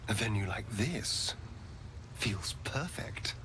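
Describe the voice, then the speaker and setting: An actor playing a sardonic, guilt-ridden vampire spawn in a video game, theatrical and given to dramatic breathy pauses. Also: male voice, lowish voice, lively intonation, in a whisper. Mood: awed, brooding.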